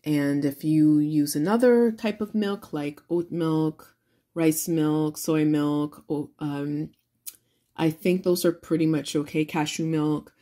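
A woman's voice: sits low, fairly even intonation, slow pace, warm tone. A woman hosting a casual home cooking demonstration, explaining things in an encouraging way. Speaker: host of a home cooking show.

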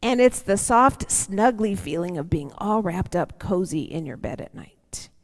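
A middle-aged woman reading a picture book aloud to children, expressive and lingering on words, with conversational asides. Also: mid-range voice, a little slow. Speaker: church storyteller for children. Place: large church sanctuary, seated indoors. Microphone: headset microphone. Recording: church hall reverb.

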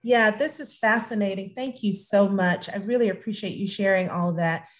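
A woman's voice: medium pitch, normal speed. A middle-aged woman hosting a live online panel from a room at home over a video call. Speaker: host, moderator.

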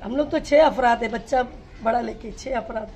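A woman's voice: on the high side, normal speed, darkish tone. Distressed woman in her fifties, pleading. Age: 50s. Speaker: resident. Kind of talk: street interview. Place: street outdoors.

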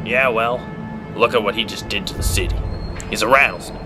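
A man's voice: lowish voice, brisk, close to an everyday voice. Man as urgent, exasperated hero's ally. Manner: urgent, argumentative. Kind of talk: superhero audio drama acting. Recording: loud music behind the voice.

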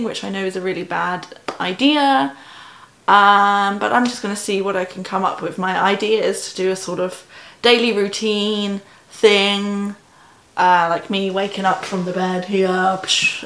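A young woman with an English accent talks casually to a phone on a tripod in her small bedroom. She keeps it chatty and self-mocking, filling pauses with ums and likes. Her voice sits at a middling pitch, and the room's echo hangs around it. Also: fairly bright tone. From England. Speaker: vlogger. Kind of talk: casual vlog chat to camera.

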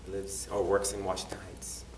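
Low-voiced man from Puerto Rico. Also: monotone, a little fast, a little hiss or hum. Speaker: interviewee. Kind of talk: short interview answers. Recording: echoey room, distant mic.

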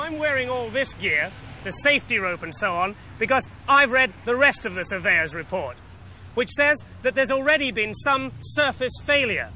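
A man in his thirties with an English accent giving a scripted television report in a wry, measured way with deliberate pauses. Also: high-pitched, bright, forceful tone. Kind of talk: scripted investigative report, to camera and voice-over. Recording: 1970s TV film sound, background noise.

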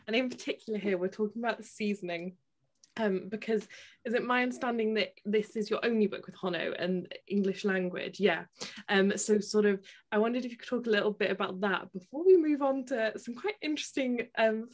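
A Welsh woman in her thirties interviewing an author, asking questions with many fillers over a video call from a room at home. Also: medium pitch.

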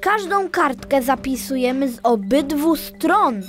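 A woman's voice: sits high, expressive, measured pace, music in the background. A woman playing an eager, polite young boy elephant in a children's audio play, earnest and childlike.

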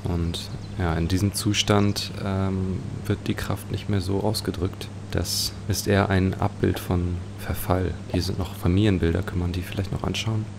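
Low, monotone man with gravelly voice. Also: moderate pace, few pauses. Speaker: let's play host. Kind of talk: casual game commentary. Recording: clearly noisy home recording.

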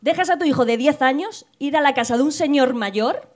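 Young woman, comedic podcast host, dramatic mock outrage, higher voice, handheld mic. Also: lively intonation, a little fast. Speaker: podcast co-host presenting a segment. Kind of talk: casual comedic chat. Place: small bar stage with live audience.

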